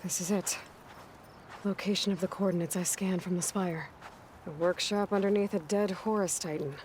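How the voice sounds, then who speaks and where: A woman's voice: fairly low pitch, fairly even intonation, moderate pace, darkish tone, slight background noise, natural voice. A woman playing a young, determined, wry adventurer heroine in a video game, speaking in a terse, dry manner. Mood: musing. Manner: terse, dry, muttering to herself. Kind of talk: video game dramatic acting. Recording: game score music behind.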